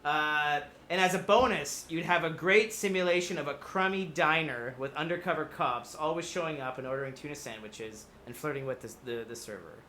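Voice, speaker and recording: A man on a panel chatting casually as he pitches an idea in a halting, jokey way. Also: bright, forceful tone. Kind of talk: casual chat, pitching ideas. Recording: faint background noise.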